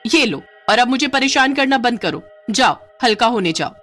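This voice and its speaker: An exasperated village wife , played by a woman, nags and exclaims in a comic story. Her pitch jumps about expressively, and soft music plays behind her. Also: lively intonation, moderate pace. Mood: annoyed scolding. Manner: scolding, exclamatory, sarcastic. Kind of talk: Hindi comic story acting.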